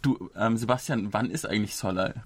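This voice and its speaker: A man's voice: fairly low pitch, brisk, breathy edge. A man in his thirties from Germany, hosting a casual podcast and explaining things at length with small restarts. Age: 30s. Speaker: podcast host.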